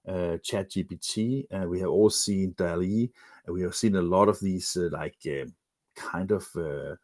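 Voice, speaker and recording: A man with a Danish accent, presenting a webinar lecture in English and often pausing with fillers and restarts. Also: deep voice, darkish tone, dry recording, clean studio sound. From Denmark. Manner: hesitant, many fillers and restarts. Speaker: webinar presenter, magazine editor.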